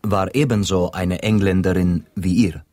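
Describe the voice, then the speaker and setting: A man playing a proud, courtly Spanish poet in a German radio drama, deep and husky, with a Spanish accent. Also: fairly even intonation, normal speed, darkish tone, no echo. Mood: courtly, solemn. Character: proud, courtly Spanish poet and gentleman, past his youth.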